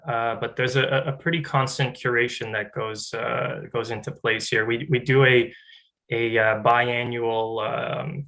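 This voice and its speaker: A man's voice: medium pitch, sharp tone. A young man speaking as a director on a panel, businesslike and methodical. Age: young adult. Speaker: sourcing director guest. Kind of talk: panel discussion.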